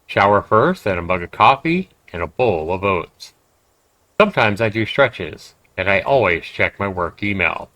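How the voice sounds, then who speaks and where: A man's voice: on the low side, lively intonation, normal speed, fairly bright tone, no room sound. A man livestreaming a game, commenting conversationally and emphatically and reading text aloud. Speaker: game streamer.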